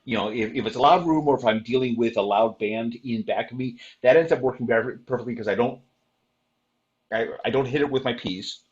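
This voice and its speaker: An older man sharing practical performing advice on an online convention panel, loud-voiced, with many fillers and restarts. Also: mid-range voice, brisk, dull audio.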